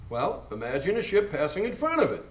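An actor playing a drunken, brash American steamboat captain who rambles and mocks in a live radio drama. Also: male voice, high voice, huge pitch swings, brisk. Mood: rambling, exasperated. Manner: rambling, mocking, incredulous. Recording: echoey live stage, distant mic.

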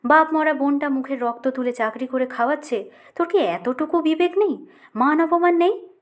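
Middle-aged mother, played by a female storyteller: high, tearful, reproachful, exclaiming; audiobook drama. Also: moderate pace, close to an everyday voice. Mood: angry reproach. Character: anguished middle-aged Bengali mother, scolding and pleading.

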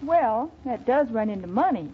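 A woman's voice: a little high, sing-song, normal speed, husky. A woman co-starring in scripted banter on an old-time western radio show. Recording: muffled, noisy old radio recording.

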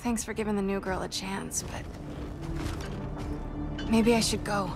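An actress playing an earnest, emotional young heroine in a video game, with impassioned delivery. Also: female voice, mid-range voice, fairly even intonation, measured pace, music in the background, natural voice. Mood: dejected. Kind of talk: cinematic video game acting.

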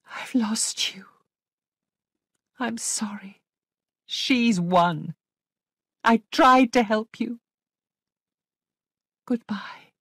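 A woman's voice: medium pitch, lively intonation, measured pace, no room sound, studio quality, voice pushed up. A woman voicing an anguished young governess in a storybook reading, with intense, emphatic delivery. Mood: grieving. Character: anguished young governess, desperate, insistent, haunted. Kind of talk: dramatic audiobook character acting.

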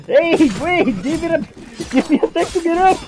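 A woman's voice: very high voice, lively intonation, moderate pace. A woman giving reactive video game commentary, exclaiming and pleading with the game as she plays. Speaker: video game streamer playing a roleplay.

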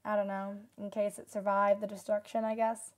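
A woman's voice: mid-range voice, little pitch movement, moderate pace, natural voice. A young woman playing a solemn nineteen-year-old disaster survivor giving testimony in a radio drama. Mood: somber, reflective. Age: young adult.

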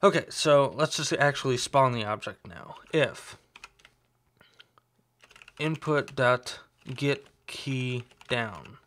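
A young man with a slight sore throat, talking through a screen-recorded coding tutorial in a relaxed, explanatory way. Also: medium pitch, slow pace. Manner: relaxed, explanatory, thinks aloud with fillers. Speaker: tutorial host.

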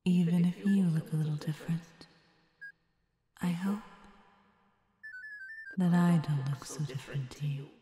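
A woman voicing a wistful, gentle, hopeful character in a game fan dub. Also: on the low side, unhurried, pauses often, close to an everyday voice. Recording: long echoing reverb, faint music.

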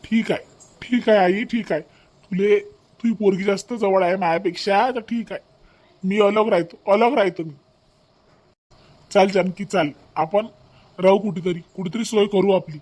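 A man's voice: sits high, steady tone, normal speed, long pauses, darkish tone. A man playing an exasperated village husband and son in a comedy cartoon, repeating himself insistently in a Vidarbha Marathi accent. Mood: hurt, resolute.

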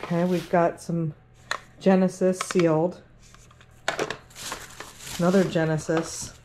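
Lady vlogging casually, lowish voice, noisy room w/ clicks. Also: flat delivery, takes it slowly. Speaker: host, vintage reseller. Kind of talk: casual unscripted chat while sorting items. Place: cluttered room indoors at home.

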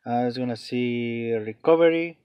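A man explaining a phone reset step by step off camera, halting with many fillers, in non-native English phrasing. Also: mid-range voice, clear, resonant tone, no room sound, muffled recording. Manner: hesitant, many fillers and restarts. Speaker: tech tutorial channel host. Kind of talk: off-camera phone tutorial voice-over.

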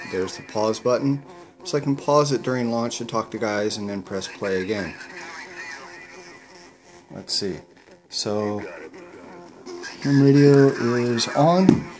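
A man's voice: medium pitch, darkish tone, a little hiss or hum, poor audio. A man casually explaining his home-built gear in a plain, matter-of-fact way, recorded indoors at home. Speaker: paraglider showing his gear. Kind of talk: casual product demo.

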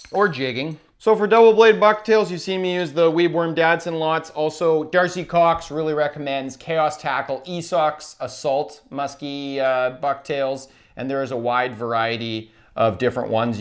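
A young man with a Canadian accent, explaining fishing gear informally to camera as host. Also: a little high. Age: young adult. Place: indoors in a small room. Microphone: lapel.

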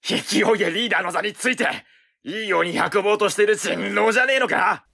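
A man's voice: sits high, brisk, creaky, sharp tone, slightly rough, heavily acted. A man playing a suspicious, challenging villager in a voice drama. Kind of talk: Japanese voice drama acting.

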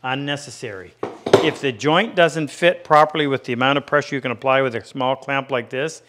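A man's voice: medium pitch, fairly quick, a bit bright, a little hiss or hum. A man in his fifties giving a plain-spoken, practical hands-on lesson as a woodworking instructor in a workshop. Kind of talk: hands-on instructional demonstration, unscripted explanation.

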